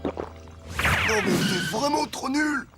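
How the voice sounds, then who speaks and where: Very high-pitched cheeky cartoon boy. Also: huge pitch swings, slow pace, over loud music, exaggerated voice. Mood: mocking. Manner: teasing, theatrical, mock-servile. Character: cheeky, boastful schoolboy playing waiter. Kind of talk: French cartoon dubbing.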